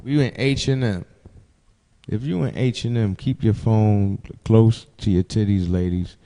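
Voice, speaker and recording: A man's voice: on the low side, measured pace, warm tone, long reverb tail. A man in his forties telling stories casually, with profanity, slang and repeated phrases for emphasis. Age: 40s. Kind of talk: casual animated storytelling.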